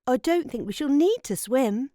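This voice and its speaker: Lady doing a young boy in a kids story, high mellow voice, eager, bit puzzled, earnest. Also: animated, moderate pace, dry recording, clean studio sound. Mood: easygoing.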